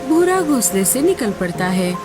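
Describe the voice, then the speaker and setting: A woman's voice: a little high, big pitch swings, moderate pace, over music. A woman giving scripted storybook narration for a cartoon.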